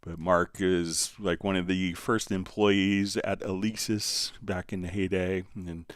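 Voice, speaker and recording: Guy doing a live software stream, lowish clean voice, dry sound, chatty and explaining stuff as he goes. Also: level tone, slow pace, no room sound. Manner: conversational, explanatory. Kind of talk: casual live tutorial and chat.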